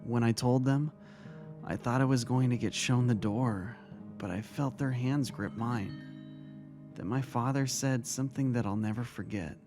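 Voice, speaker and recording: A man acting a kindly, caring male vice-principal and mentor in a dramatic story reading, speaking gently and earnestly. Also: mid-range voice, normal speed, lightly acted. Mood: reflective, confiding. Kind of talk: dramatic reading of fan fiction. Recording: music under the voice.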